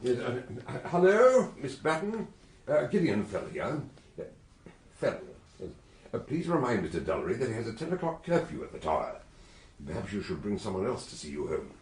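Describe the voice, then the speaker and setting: An actor playing an eccentric, jovial British detective doctor in a radio drama, with a theatrical, blustering, wry manner. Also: male voice, mid-range voice, very animated, moderate pace, slightly rough. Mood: bumbling, courteous. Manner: theatrical, hesitant bumbling mixed with wry pronouncements. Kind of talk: BBC radio drama acting, dialogue and narration.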